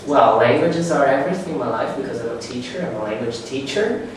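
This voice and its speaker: A man, a language teacher, answering interview questions in a relaxed, confident way. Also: mid-range voice, fairly quick. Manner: relaxed, confident, peppered with 'you know'. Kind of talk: class project interview. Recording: roomy echo, distant mic, faint noise.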